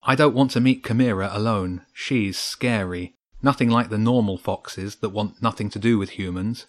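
A man's voice: fairly low pitch, moderate pace, close to an everyday voice. A man voicing an earnest, worried boy adventurer in a children's audiobook, a little hesitant. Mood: uneasy.

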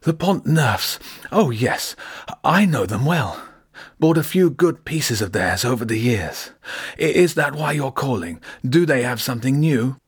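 Wheezy, husky old dealer. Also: male voice, medium pitch, animated, no echo, studio quality, voice pushed up. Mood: excited, warm. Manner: slow, old-fashioned, courteous. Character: old, slow, genial furniture auction dealer on the phone.

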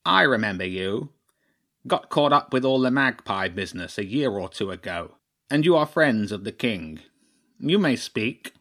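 A man's voice: on the low side, animated, moderate pace, a bit bright. A man voicing a stern, shrewd old crow chairman in a storybook, speaking formally and pompously. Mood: haughty, brusque. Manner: pompous, clipped, formal pronouncements.

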